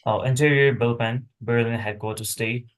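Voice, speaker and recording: A man's voice: mid-range voice, fairly even intonation, normal speed. A man reading screenplay stage directions aloud in terse, clipped phrases.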